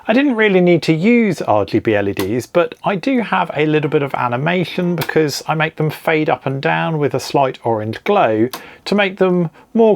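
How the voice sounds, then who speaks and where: Lively man explaining electronics. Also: on the high side, a little hiss or hum. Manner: clear, methodical step-by-step explaining. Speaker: maker explaining an electronics build. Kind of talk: scripted technical narration.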